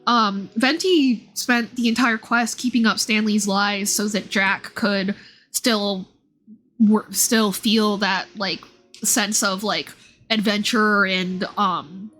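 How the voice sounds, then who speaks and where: A woman's voice: medium pitch, a bit bright. A woman chatting casually as a livestreamer, commenting on a game in an informal, talkative way and often circling back to rephrase. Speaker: streamer and VTuber model creator. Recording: game music playing behind the voice. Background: video game music.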